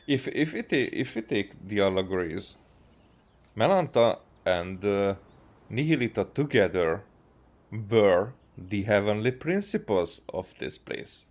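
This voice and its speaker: A man from Hungary, thinking aloud in halting, rambling gameplay commentary and often repeating himself. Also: fairly low pitch, big pitch swings, a little mellow. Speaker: video game streamer. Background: faint game music.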